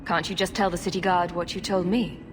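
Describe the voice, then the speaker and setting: A woman acting a calm, tactful heroine in a video game, composed and direct. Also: fairly low pitch, fairly quick, noisy background, lightly acted. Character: calm, diplomatic heroine, adult and reasonable.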